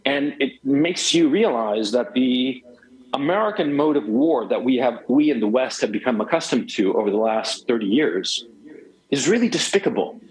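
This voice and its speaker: A man's voice: medium pitch, expressive, moderate pace, rough recording. A man in his forties from Chile, a guest talking emphatically on a cell phone, rambling with many restarts. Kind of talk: phone interview.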